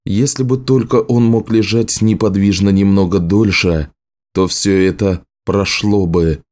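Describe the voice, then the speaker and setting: A man reading a novel aloud as an audiobook narrator, in a measured, serious storytelling manner. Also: on the low side, fairly even intonation, a little mellow. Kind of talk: scripted literary narration.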